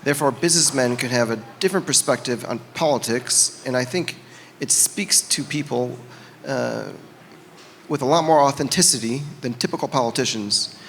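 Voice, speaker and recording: Earnest man pitching himself in a hall. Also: normal speed, creaky, a bit bright. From United States. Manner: earnest, hesitant with many fillers. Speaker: panel guest, candidate for governor. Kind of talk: campaign-style panel talk. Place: conference hall. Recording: reverberant hall with faint noise.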